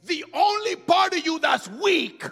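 High, bright-voiced shouting preacher. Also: male voice, lively intonation. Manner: alternates shouting with quiet fast asides. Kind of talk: sermon. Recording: music under voice in a long-reverb hall.

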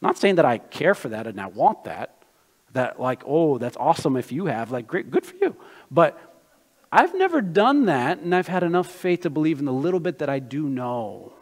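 Man preaching, slightly high voice, long reverb of a hall, personal storytelling, emphatic peaks. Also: sing-song, normal speed, darkish tone. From Brazil, raised in the United States. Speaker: pastor. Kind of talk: sermon.

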